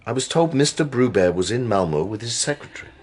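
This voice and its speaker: Actor as a husky, polite male detective. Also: mid-range voice, animated, fast talker, a little mellow, lightly acted. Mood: gently probing. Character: polite, low-key detective inspector, apologetic but persistent. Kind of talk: radio drama acting.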